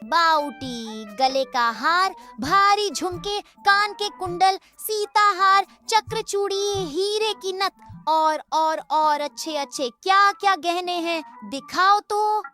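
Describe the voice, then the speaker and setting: Squeaky, spoiled rich wife. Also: female voice, takes it slowly, sharp tone, faint music behind, full character voice. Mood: spoiled, demanding. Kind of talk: Hindi animated comedy dubbing.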